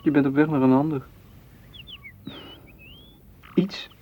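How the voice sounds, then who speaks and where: A man's voice: a little high, fairly even intonation, slow. A man playing a frustrated, hurt lover, intense and reproachful, in a radio play. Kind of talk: Dutch radio play acting. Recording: dull, faintly noisy old radio recording.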